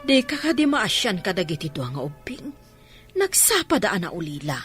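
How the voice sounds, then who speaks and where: A woman's voice: medium pitch, sing-song, normal speed, fairly bright tone, soft background music. A woman playing a kind, compassionate aunt in a radio drama. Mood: pleading, reproving.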